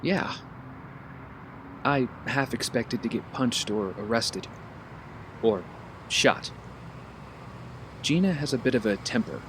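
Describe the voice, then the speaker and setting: A man's voice: medium pitch, lively intonation, slow, long pauses, background noise. Earnest, wry young hero, acted by a man. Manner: earnest, casual, teasing. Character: earnest, wry young male vigilante making amends. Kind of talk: audio drama acting.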